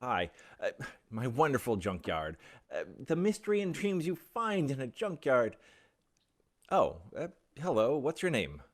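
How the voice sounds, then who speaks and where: An actor playing a cocky, impatient, opinionated theater director in a radio play. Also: male voice, mid-range voice, wildly swooping pitch. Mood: teasing. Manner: brisk, opinionated, teasing.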